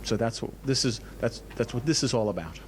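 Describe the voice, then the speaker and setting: Halting, enthusiastic man over background noise. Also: medium pitch, animated. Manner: enthusiastic, halting with frequent repeated words. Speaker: museum director being interviewed. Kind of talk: interview answers, explanatory.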